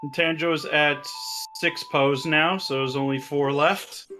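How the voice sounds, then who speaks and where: A man commentating a live game race in a casual chat, breaking down the game logic and throwing in quick jokes. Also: a little high, fairly even intonation, over music. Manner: jokey, analytical, quick asides.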